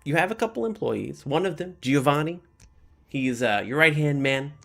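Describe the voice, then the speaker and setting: Gravelly-voiced male game master. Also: on the high side, expressive, moderate pace, fairly bright tone. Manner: encouraging, easygoing. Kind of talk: narrating a tabletop game.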